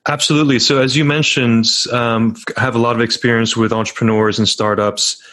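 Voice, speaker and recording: A man's voice: medium pitch, level tone, fairly quick, fairly bright tone, dry recording. A middle-aged man talking earnestly as a podcast guest, telling stories with frequent restarts. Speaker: podcast guest, startup founder. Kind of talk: casual podcast interview.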